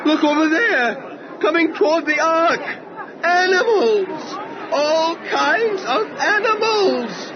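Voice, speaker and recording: A man playing an excitable, stubborn onlooker with an exclamatory manner in a biblical audio drama. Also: very high-pitched, slow, full character voice. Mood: astonished. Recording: dull, very noisy outdoor crowd.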